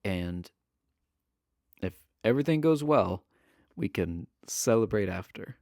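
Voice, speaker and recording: An actor playing a shy, adorkable imprisoned villain in a romance audio roleplay, nervous, earnest and stammering. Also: male voice, on the low side, animated, slow pace, creaky, darkish tone, no room sound, clean studio sound, exaggerated voice. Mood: flustered, eager. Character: shy, adorkable imprisoned male villain, smitten with pen pal.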